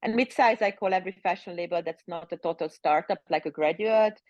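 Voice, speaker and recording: A woman from Hungary, circling her points with constant "like" and "you know". Her voice sits fairly low. The recording is dull and dry, like remote audio. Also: brisk, darkish tone, dry recording. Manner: rambling, heavy with fillers like "like" and "you know". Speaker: guest. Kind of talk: casual interview chat.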